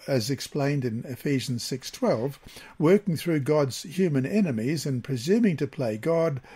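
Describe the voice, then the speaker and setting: A man from Australia reading a scripted Bible lesson in a measured, deliberate way with pauses between phrases. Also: fairly high pitch, dark tone, slightly rough.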